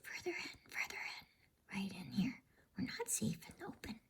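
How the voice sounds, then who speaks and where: A woman voicing a wary, friendly talking beaver in a hushed, hoarse, throaty whisper for a storybook. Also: mid-range voice, very animated, normal speed, voice pushed up. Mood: urgent, fearful. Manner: hushed, urgent, polite.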